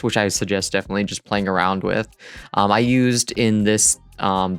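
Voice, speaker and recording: A man's voice: mid-range voice, clean tone, dry recording. A man giving a casual, rambling tutorial voice-over in an informal, self-deprecating way. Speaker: digital artist narrating a dev vlog. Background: music.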